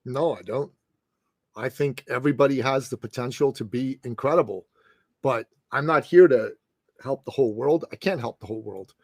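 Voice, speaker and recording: A man's voice: mid-range voice, moderate pace, darkish tone, no echo, clean studio sound. A man in his late fifties, a success coach, talking in a podcast interview in an emphatic, punchy way. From Middle East. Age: 50s. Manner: emphatic, punchy, calls host "brother" and "man".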